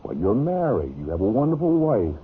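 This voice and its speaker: A man acting a smooth, scheming husband and business partner in 1950s radio drama, falsely friendly and insinuating, with deliberate emphasis. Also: medium pitch, huge pitch swings, exaggerated voice. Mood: grave confiding. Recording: dull, noisy old radio recording.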